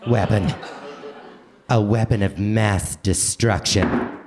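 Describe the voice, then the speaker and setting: A man's voice: fairly low pitch, slow pace, heavy background noise. A man playing a devoted, admiring husband in a comedic live radio play, earnest and melodramatic. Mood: proud, dramatic.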